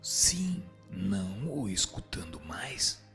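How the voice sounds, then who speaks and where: A man's voice: sits low, big pitch swings, slow, gravelly, a bit bright, soft background music, exaggerated voice. A man playing a solemn spirit instructor in a radio drama, reciting didactic answers in an admonishing, formal way. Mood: solemn, instructive. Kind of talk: dramatized reading of a spiritist text.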